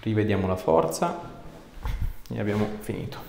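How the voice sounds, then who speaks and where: A man's voice: on the low side, animated, moderate pace, darkish tone. A man, giving informal, encouraging step-by-step instructions to a patient as a chiropractor. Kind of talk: hands-on clinical instructions and casual chat with a patient. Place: small clinic treatment room, indoors. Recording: echoey small room with clear background noise.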